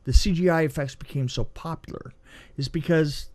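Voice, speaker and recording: A man co-hosting a podcast, chatting casually and telling anecdotes in an animated way, often repeating himself for emphasis. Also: on the high side, normal speed. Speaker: podcast co-host and film production designer. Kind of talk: casual movie review chat and anecdotes.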